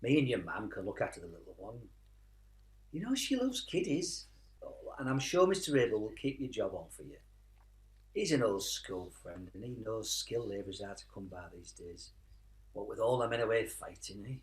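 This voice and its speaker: A man's voice: lowish voice, sing-song, moderate pace, breathy edge, exaggerated voice. A man playing a blunt, affectionate working-class British father in a wartime radio play. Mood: gently reassuring.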